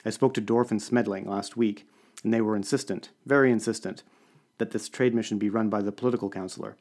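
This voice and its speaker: A man's voice: on the low side, steady tone, brisk, a little mellow. A man voicing an irritable senior male diplomat in an audiobook, terse and disdainful. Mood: curt.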